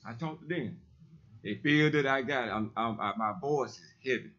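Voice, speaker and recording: A man with a heavy, loud voice, speaking emphatically and repeating himself in a preacherly way during public comment at a council meeting. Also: mid-range voice, normal speed, highs cut off. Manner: emphatic, repetitive, preacherly insistence.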